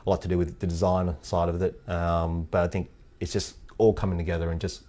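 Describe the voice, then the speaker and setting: A man from Thailand raised in Australia, speaking with an Australian accent as an interview guest, relaxed and laughing often. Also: low voice, fairly quick. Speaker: guest, head of sales operations. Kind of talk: casual interview chat.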